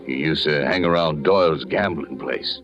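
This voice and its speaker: An actor playing a guarded, shady underworld informant in a 1940s radio thriller. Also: male voice, rumbling low, moderate pace. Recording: dull old radio recording, loud music behind.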